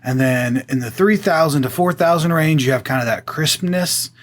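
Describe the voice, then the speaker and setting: A man with a boomy voice explaining audio mixing in a relaxed, casual way, often pausing with fillers. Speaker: guest audio engineer.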